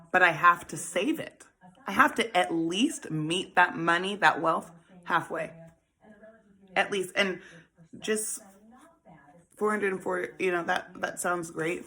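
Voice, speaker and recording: Female host, 40s, low-pitched with a gravelly edge, casual and playful. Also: a bit bright. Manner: chatty, laughs often, calls listeners honey and baby. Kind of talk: casual financial advice talk.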